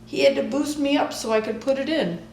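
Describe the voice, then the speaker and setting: A woman reading a children's picture book aloud in a deliberate, expressive storytelling style. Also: high-pitched, reverberant, a little hiss or hum, poor audio. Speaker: storybook reader.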